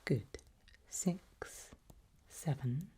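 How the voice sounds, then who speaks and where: Whispering, slow-paced ASMR woman. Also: low voice, animated. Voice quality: breathy, mostly unvoiced whisper. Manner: gentle, reassuring, unhurried, halting short phrases. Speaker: ASMR performer role-playing a doctor giving a memory test. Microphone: close ASMR microphone.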